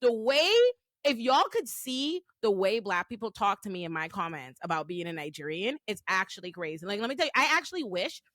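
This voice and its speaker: Animated Bahamian woman, bright voice. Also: medium pitch, brisk, no room sound, clean studio sound. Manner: emphatic, animated, restarts mid-sentence. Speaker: host. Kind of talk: casual panel discussion.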